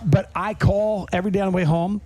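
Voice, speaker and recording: A man's voice: sits high, steady tone, a little fast, darkish tone. A middle-aged man hosting a podcast, chatting casually in a rambling, self-interrupting way. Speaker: podcast host.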